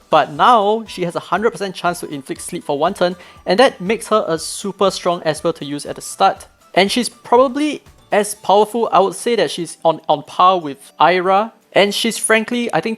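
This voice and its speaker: A hoarse man reading out game patch notes, then breaking in with his own eager opinions, as a gaming video creator. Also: fairly high pitch.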